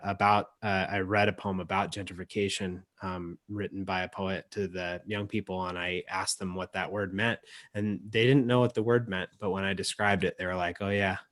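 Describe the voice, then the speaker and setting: A man emceeing an informal online event, speaking haltingly with frequent pauses. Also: low-pitched, a bit bright, no echo. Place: indoors, remote video call. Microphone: video call.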